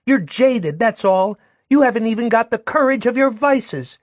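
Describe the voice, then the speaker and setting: A man's voice: expressive, moderate pace, dry recording, studio quality. A man voicing a furious young working-class woman who rails and jeers with scorn. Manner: shrill, jeering, railing.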